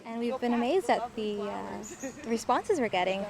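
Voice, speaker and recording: A woman's voice: a little high, expressive, moderate pace, vocal fry, a crowd in the background. A woman helping run garden volunteers, talking chattily and enthusiastically in an interview. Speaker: volunteer organizer.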